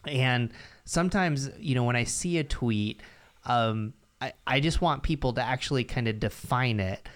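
A man's voice: mid-range voice, normal speed, fairly bright tone, clear, resonant tone. A man interviewing a guest, framing a long question in a hesitant way with many fillers.

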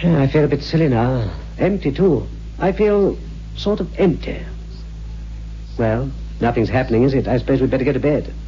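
A dull, faintly humming old radio recording features an actor as an ordinary husband in a horror play. He sounds puzzled and uneasy, his soft, mellow voice moving freely up and down as he questions things. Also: medium pitch, animated, moderate pace, a little hiss or hum, lightly acted. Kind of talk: 1960s radio horror drama acting.

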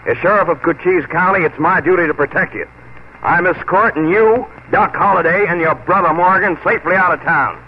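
A self-important Old West sheriff, curt and hostile. His voice sits a little high for a man. It comes from a dull old radio drama recording with light noise. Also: brisk. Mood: smugly threatening. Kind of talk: 1940s Western radio drama acting. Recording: muffled, noisy 1940s radio recording.

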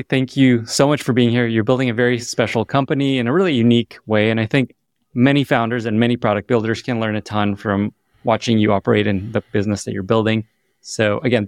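A man's voice: mid-range voice, creaky, no room sound, studio quality. A man hosting an interview podcast, upbeat and enthusiastic as he asks questions and wraps up. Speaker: podcast host.

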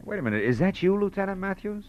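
A man's voice: high-pitched, sing-song, fast, a little mellow, dull audio. A man acting a hard-boiled, wisecracking private detective in a 1940s radio drama, with an American accent and a tough, clipped, sardonic delivery. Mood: urgent.

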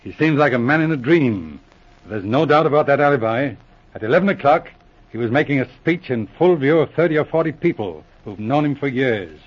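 An actor playing a thoughtful, kindly police sergeant who reasons deliberately, in 1940s radio drama style. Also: male voice, medium pitch, animated, slightly rough. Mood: thoughtful, puzzled. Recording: muffled vintage radio recording.

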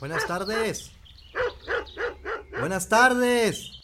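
A man playing a shy, halting, lovestruck young Mexican suitor in an audio drama. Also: sits high. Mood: shy, nervous. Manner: halting, stammering. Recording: noisy, chickens and birds behind.

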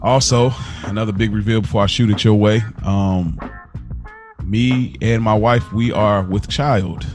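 A man's voice: fairly low pitch, a little slow, darkish tone, soft background music. A young adult man co-hosting a casual podcast, talking in an easygoing, rambling way. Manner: easygoing, rambling, restarts sentences. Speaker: podcast co-host.

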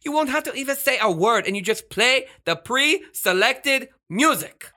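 A woman's voice: sits high, expressive, moderate pace, sharp tone. A woman voicing a flamboyant, bossy, self-adoring radio star in a children's comedy audio drama, with a theatrical manner. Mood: exasperated. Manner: theatrical, exasperated, showy.

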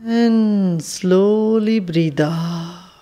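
A woman leading a guided meditation with soothing, unhurried instructions and long pauses. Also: medium pitch, dark tone, soft background music.

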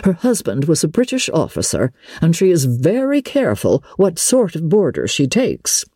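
A woman's voice: sits low, wildly swooping pitch, normal speed, mellow, slightly rough, no room sound, clean studio sound, exaggerated voice. A woman voicing a proper, genteel, well-to-do elderly lady with a formal, reassuring manner in a storybook reading. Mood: assured, proper.

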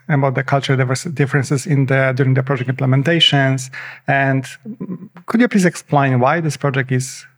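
A man hosts a podcast with a gravelly, mid-pitched voice. He asks his questions formally, pausing and correcting himself along the way. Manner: formal, slightly halting questions. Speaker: podcast host. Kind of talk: podcast interview.

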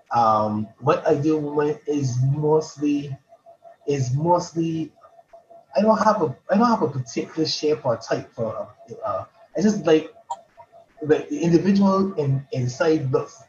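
A man's voice: on the high side, darkish tone. A man from Barbados speaks as a guest poet, starting over and repeating words as he searches for what to say. His voice comes through a slightly muffled recording. A faint hum of noise sits behind him. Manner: halting, many restarts and repeated words. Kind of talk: casual interview chat.

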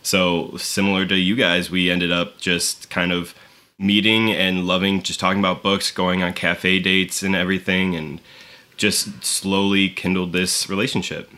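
A man's voice: sits low, little pitch movement, a little slow, pushed and bright. A man in his twenties with an American accent, chatting casually as a podcast guest. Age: 20s. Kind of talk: casual chat.

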